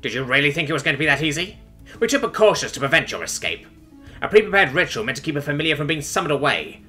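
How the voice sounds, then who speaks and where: A man voicing a haughty, boastful old noble magistrate with a gruff delivery, in an audiobook. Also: mid-range voice, big pitch swings, a little fast, pushed and bright, soft background music. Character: pompous, smug old male noble magistrate, villain. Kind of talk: audiobook character voice.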